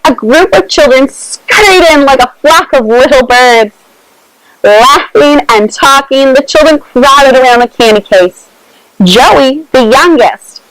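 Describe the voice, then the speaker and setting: Clear, higher-voiced woman reading a children's story. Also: dry recording, low-quality recording. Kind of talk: read-aloud children's storytime with asides.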